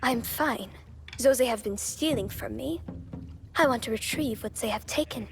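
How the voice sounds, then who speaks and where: A woman playing a sly, defiant young pirate's daughter in a video game, sharp-tongued and playfully sweet. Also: a little high, normal speed, vocal fry. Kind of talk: dramatic game voice acting.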